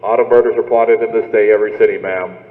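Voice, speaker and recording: A male actor as a stolid police sergeant on a thin phone line in a radio thriller. His lowish voice is placating yet brusque and patronizing, with a long echo around it. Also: fairly even intonation, fast talker. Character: unhurried, brusque, dismissive police desk sergeant. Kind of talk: suspense radio play acting. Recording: tinny phone-like band, echoey hall.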